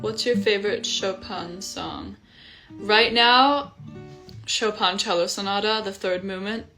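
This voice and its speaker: Slow-talking young woman with guitar behind. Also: mid-range voice. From Iceland. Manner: relaxed and chatty, with frequent ums. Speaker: singer-songwriter on a livestream. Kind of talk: casual livestream chat between songs. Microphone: phone livestream. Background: guitar playing.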